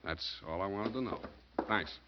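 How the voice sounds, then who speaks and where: An actor playing a wry, street-wise private detective, deadpan and wisecracking, in 1940s noir radio style. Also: male voice, low voice, normal speed, slight background noise, close to an everyday voice. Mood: cagey, casual. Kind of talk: 1940s radio acting, first-person noir narration. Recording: muffled old radio broadcast with music.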